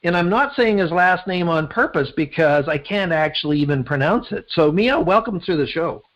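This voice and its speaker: A man's voice: on the high side, lively intonation, moderate pace, a bit bright, lo-fi sound. A man with a Canadian accent, speaking conversationally as a podcast host and interviewer.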